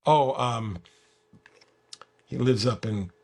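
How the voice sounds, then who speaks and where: A man with a creaky, rough-edged voice chats as an interview guest. His delivery is informal and laid-back. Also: mid-range voice, slow, a little mellow. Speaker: guest, software developer. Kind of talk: casual chat.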